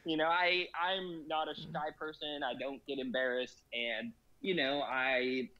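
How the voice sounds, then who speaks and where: A man's voice: on the high side, level tone, unhurried, pushed and bright, lo-fi sound. A man chatting casually as a guest about a strategy game, rambling with many fillers and frequent laughs. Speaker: guest in gaming discussion. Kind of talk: casual chat.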